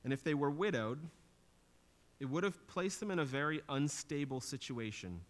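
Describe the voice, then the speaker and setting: A man's voice: a little high, expressive, moderate pace. A man in his thirties preaching a sermon in a small church, explaining scripture in an earnest teaching tone that lifts for emphasis. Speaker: pastor. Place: small church stage with drum kit.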